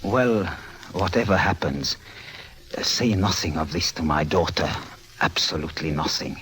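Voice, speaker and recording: Terse, menacing radio noir magnate. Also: male voice, deep voice, moderate pace, fairly bright tone, low-quality recording. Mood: grave, ominous. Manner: terse, commanding, ominous. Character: menacing, secretive, powerful man with criminal past. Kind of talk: 1950s radio noir acting. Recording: vintage 1950s radio drama.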